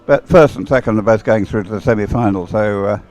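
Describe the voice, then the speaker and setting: A man giving live, conversational race commentary in a halting way, repeating words as he goes. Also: on the low side, darkish tone, soft background music. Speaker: sports commentator.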